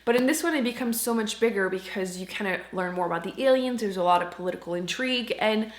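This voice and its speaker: A woman hosts a book review vlog in a casual, chatty way. Her voice sits at an average pitch and she leans on key words for emphasis. The recording has a clear roomy echo around her. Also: quick pace. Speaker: book reviewer and channel host.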